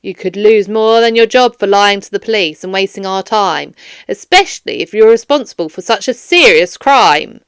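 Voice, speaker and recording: A woman's voice: mid-range voice, animated, clean tone, no echo, studio quality, pitched below the performer's own voice, natural voice. A woman voicing a firm, formal police detective questioning a suspect in a children's audiobook. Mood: stern. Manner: formal, measured, stern. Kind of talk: children's audiobook character dialogue.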